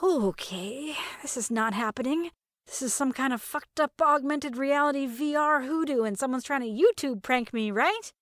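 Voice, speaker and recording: A nervy young woman acted by a female narrator with a fairly high voice, close and dry. She rambles in slang, wry and incredulous, as a character in an audio drama. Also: moderate pace, fairly bright tone, no room sound. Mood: incredulous, indignant.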